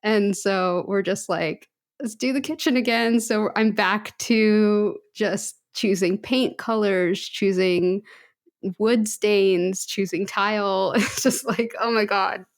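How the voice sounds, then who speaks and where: A woman's voice: medium pitch, fairly even intonation, takes it slowly. A woman co-hosting a podcast in casual chat, rambling and laughing often. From United States. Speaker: podcast co-host.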